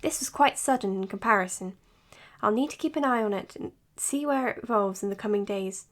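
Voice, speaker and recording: A woman's voice: medium pitch, a little fast, lightly acted. A woman acting in an audio drama as an earnest, matter-of-fact psychologist giving her case notes. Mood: measured, clinical. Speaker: doctor. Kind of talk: audio drama, recorded clinical log.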